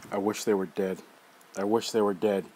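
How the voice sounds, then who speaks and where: A man's voice: medium pitch, animated, moderate pace, a little mellow, slight background noise, pitched above the performer's own voice, natural voice. A man voicing a bitter, guarded young boy in an audiobook reading. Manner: sullen, guarded. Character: scrawny bitter boy, wary and resentful.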